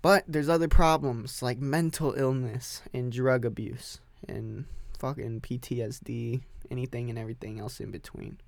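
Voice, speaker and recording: A man's voice: medium pitch, steady tone, moderate pace, creaky, hardly any pauses, dry recording. A man hosting a solo podcast, rambling and joking with frequent swearing. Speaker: podcast host. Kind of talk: casual solo podcast rant.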